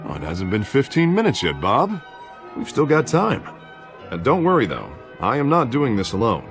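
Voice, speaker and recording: An actor playing a charming, self-assured, ambitious American politician in a video game. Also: male voice, mid-range voice, wildly swooping pitch, normal speed, faint music behind. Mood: upbeat, confident. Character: smooth, upbeat, ambitious male politician. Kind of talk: video game character acting.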